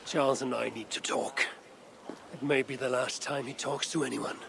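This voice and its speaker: An actor playing a stern, battle-hardened Viking warrior in a video game, speaking tersely and gravely. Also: male voice, medium pitch, steady tone, normal speed, noisy background, lightly acted. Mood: grave, blunt. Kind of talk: dramatic video game cutscene acting.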